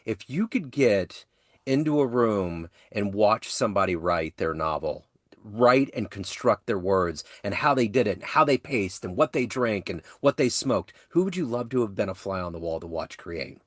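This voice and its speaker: Lively low-voiced male interviewer. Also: normal speed, no echo, clean studio sound. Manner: lively, affirming with frequent "yeah". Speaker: podcast host. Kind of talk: interview questions.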